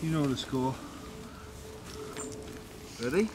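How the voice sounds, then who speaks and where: A man's voice: mid-range voice, expressive, slow pace. A man outdoors by a lake, chatting in a laid-back way and bantering with his brother. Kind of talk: casual chat. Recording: outdoor noise behind.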